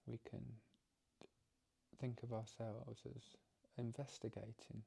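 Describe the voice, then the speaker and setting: A man leading a guided meditation as its teacher, speaking calmly and gently, haltingly, with frequent pauses and repeated phrases. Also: mid-range voice, gravelly, slightly rough. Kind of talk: guided meditation, softly instructive.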